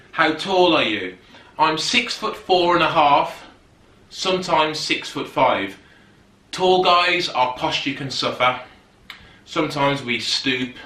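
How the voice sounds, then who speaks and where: Bloke in his 30s rambling on his settee, crisp bright tone, roomy echo. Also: medium pitch, far from the mic. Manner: chatty, rambling, goes off on tangents. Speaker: fitness YouTuber. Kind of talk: casual chat to camera. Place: sitting on a settee at home.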